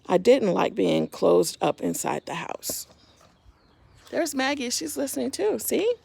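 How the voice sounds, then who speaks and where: A woman with a heavily creaky voice reads aloud for young children. Her delivery is expressive and simple, turning to address the little listeners directly. The sound is close and dry. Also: on the high side, unhurried, dry recording. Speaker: storyteller reading a picture book to children.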